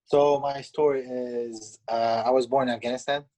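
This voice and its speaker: A man's voice: mid-range voice, steady tone, warm tone, low-quality recording. Hesitant man from Canada. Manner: hesitant, halting, many fillers. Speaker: pizzeria owner, guest. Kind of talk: casual interview answers. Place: pizzeria.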